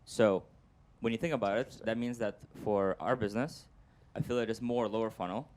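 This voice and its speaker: A man with a clear, smooth, resonant voice sitting a little low in pitch. He speaks on a live panel in an upbeat, analytical way. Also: fairly even intonation, brisk, a little mellow. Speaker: panelist, performance marketer. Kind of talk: panel discussion answer.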